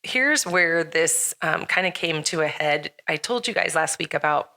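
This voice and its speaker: A middle-aged woman with a slightly hoarse, raspy voice hosts a casual podcast chat, rambling with lots of fillers and frequent laughs. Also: low voice, expressive, moderate pace, pushed and bright. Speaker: podcast host.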